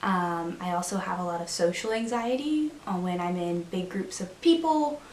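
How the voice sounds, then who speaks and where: A young adult woman explaining her views in a measured, steady way during an interview. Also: on the low side, reverberant, a little hiss or hum, rough recording.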